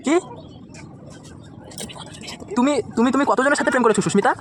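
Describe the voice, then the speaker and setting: A man's voice: very high voice, fairly quick, noisy background. A man making a prank phone call as a reproachful former lover, insistently questioning.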